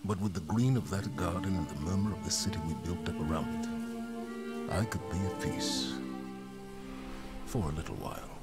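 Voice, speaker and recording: An actor playing a weary, solemn veteran military commander in a video game monologue, speaking in a measured, reflective way over music. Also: male voice, sits low, big pitch swings. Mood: wistful, reflective. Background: prominent music.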